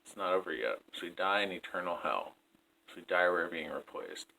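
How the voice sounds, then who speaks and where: A man's voice: low voice, lively intonation, fairly quick, bright, forceful tone, low-quality recording. A man in his twenties reading his poetry aloud as a spoken-word performance. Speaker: poet. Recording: clear background noise.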